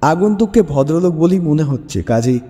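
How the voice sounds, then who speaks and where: A man's voice: fairly high pitch, animated, brisk, warm tone. A man hosting and narrating a scripted dramatic story for audio. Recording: long reverb with music bed. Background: music.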